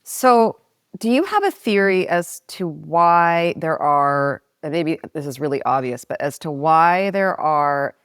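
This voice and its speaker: Casting: woman podcast co-host, very low and smooth voice, curious tentative questioner. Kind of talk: casual interview questions.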